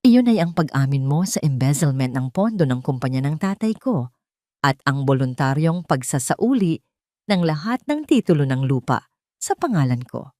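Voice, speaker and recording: A woman voicing a calm, steely betrayed wife with a composed, cutting manner in an audio story. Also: low voice, moderate pace, no echo, clean studio sound, pitched below the performer's own voice. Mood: calm defiance.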